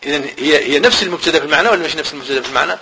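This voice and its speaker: A man's voice: a little high, racing, pushed and bright, a little hiss or hum, rough recording. A man with a Moroccan Arabic accent teaching a grammar lesson. He repeats phrases, asks the class questions and then answers them himself.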